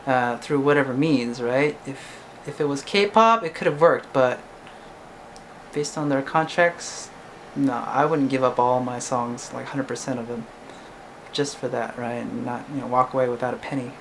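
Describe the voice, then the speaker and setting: A man's voice: medium pitch, moderate pace, a little hiss or hum, rough recording. Chatty young man, talking to camera. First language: English. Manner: chatty, ends points with "right?". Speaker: singer-songwriter vlogger. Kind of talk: casual talk to camera.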